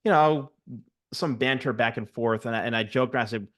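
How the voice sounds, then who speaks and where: A man in his forties, hosting an interview and telling stories in a chatty, animated way. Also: medium pitch, a little fast. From United States. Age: 40s. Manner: chatty, animated storyteller, many fillers. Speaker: host, barber coach. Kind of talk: casual interview chat.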